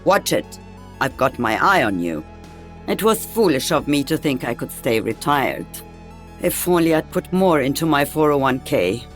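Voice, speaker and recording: Casting: a seasoned elderly female soldier for a game; dry wit, world-weary, highly varied intonation, light music underneath. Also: big pitch swings, normal speed. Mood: dry, amused. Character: elderly veteran female sniper, wry, weary, maternal. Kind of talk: video game character voice lines.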